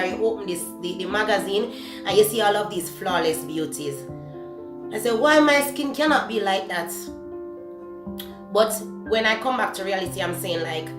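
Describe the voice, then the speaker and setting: A young woman with a Jamaican accent, whose first language is Patois, talks candidly to the camera in her home kitchen. She often pauses to search for words. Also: mid-range voice. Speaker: beauty vlogger. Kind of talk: casual talk-to-camera beauty vlog. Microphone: camera close to the face. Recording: echoey kitchen with background music.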